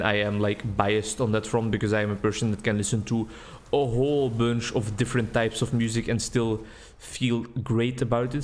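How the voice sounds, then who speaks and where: A young man with a Belgian accent giving relaxed, rambling commentary while playing a game, recorded at home on a desk mic. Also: mid-range voice. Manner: rambling, relaxed, thinking aloud. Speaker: gaming video creator. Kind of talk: casual gameplay commentary. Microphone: desk mic with webcam.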